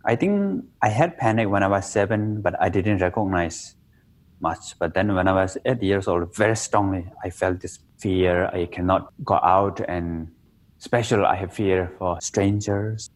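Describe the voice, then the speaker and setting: A man's voice: low voice, moderate pace. A man from Nepal with a Nepali accent, telling stories about meditation in a playful interview, in simple, broken English. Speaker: meditation teacher. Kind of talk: relaxed interview conversation.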